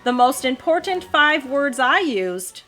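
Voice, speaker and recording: Woman, higher pitch, children's read-aloud host, expressive delivery, casual asides to kids, background music. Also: slow pace. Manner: expressive, addresses child listeners with questions. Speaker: host, mother reading to her child.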